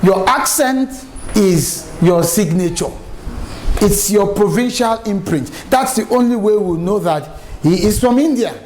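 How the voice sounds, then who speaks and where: A man's voice: sits high, animated, a little slow, a little mellow, a little hiss or hum, rough recording. A middle-aged Nigerian man from Lagos, giving an emphatic, story-filled lesson on public speaking. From Nigeria, raised in Lagos. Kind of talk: lively spoken lecture with anecdotes.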